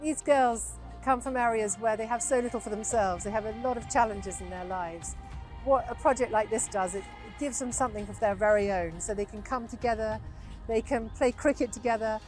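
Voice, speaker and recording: A woman's voice: on the high side, brisk, a little mellow, faint music behind. A woman speaking earnestly and steadily in a documentary interview about a charity's work.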